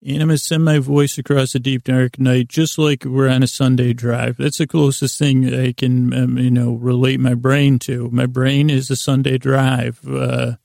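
A man with a very creaky, mellow voice rambles through an improvised bedtime monologue. He mumbles in a lulling, soothing way, halting and drifting mid-thought. The recording is very dry, with faint music underneath. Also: mid-range voice, flat delivery, no room sound. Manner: halting, meandering, often trailing off mid-thought. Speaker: host of a bedtime story podcast.

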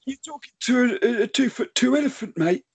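Little elephant, husky, stammering, earnest, fussy, easily offended, comedy podcast quizmaster. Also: male voice, very high-pitched, lively intonation, fairly quick, heavily acted. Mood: indignant. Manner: stammering, pedantic. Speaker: quiz host on a comedy podcast.

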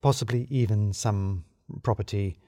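A man voicing a discreet, formal woman from human resources in an audio story, speaking in a hushed, careful way. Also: lowish voice, unhurried, no echo, clean studio sound, pitched below the performer's own voice, close to an everyday voice. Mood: tactful concern.

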